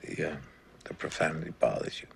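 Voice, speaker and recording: Brief: low, gravelly novelist, calm and placating. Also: male voice, a little fast, exaggerated voice. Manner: calm and reasoning, soothing an angry woman. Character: injured male novelist, calm and placating under threat. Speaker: film character.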